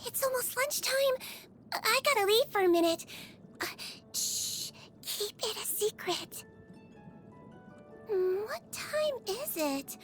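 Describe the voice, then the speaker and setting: A woman voicing a small, sweet young Melusine nurse in a video game, with a gentle, caring, bubbly manner. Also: very high voice, exaggerated voice. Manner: gentle, cutesy, bubbly, attentive bedside manner. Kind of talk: video game character voice lines.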